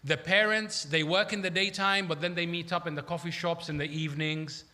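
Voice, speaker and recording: Male preacher from Iraq, higher-pitched bright voice, emphatic conversational sermon delivery in a reverberant hall. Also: steady tone, brisk. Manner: conversational, emphatic, uses rhetorical questions. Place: large hall at an Islamic centre. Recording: echoey hall with long reverb.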